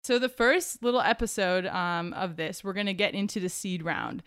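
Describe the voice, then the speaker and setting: A woman's voice: mid-range voice, normal speed, a bit bright, clean tone, no echo, clean studio sound. A woman hosting a podcast, introducing her guest and asking questions in an upbeat, enthusiastic way. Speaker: podcast host.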